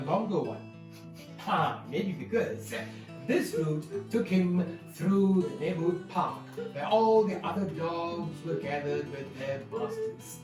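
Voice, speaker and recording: A man telling a story to an audience in a playful, lively manner, leading them in movement games before the tale. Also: fairly high pitch. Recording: echoey room, distant mic, guitar music.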